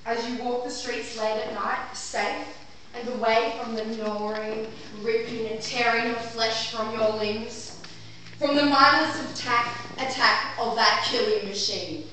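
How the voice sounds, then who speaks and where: A woman on stage playing a pompous, melodramatic defense lawyer, addressing a jury with grandiose, deliberate delivery and dramatic pauses. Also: on the high side, steady tone, pushed and bright. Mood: ominous, rousing. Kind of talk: theatrical courtroom monologue in an amateur stage play. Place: small theatre stage before a live audience. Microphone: distant camera microphone. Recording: echoey theatre, far from mic, faint noise.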